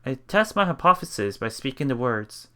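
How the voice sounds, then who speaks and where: A man reading a visual novel aloud for a Let's Play, expressive and dramatic in first-person narration. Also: medium pitch, normal speed. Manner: expressive, dramatic, with murmured reactions.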